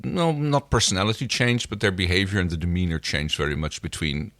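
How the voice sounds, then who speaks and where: A man's voice: sits low, fairly quick, fairly bright tone, no echo, clean studio sound. A man co-hosting a podcast, casually commenting on a television episode. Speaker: podcast co-host.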